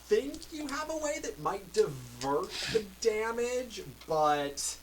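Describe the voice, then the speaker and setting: A man narrating as game master of a tabletop role-playing game, describing scenes in a relaxed, rambling way. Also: high voice. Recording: mic a bit far, faint noise.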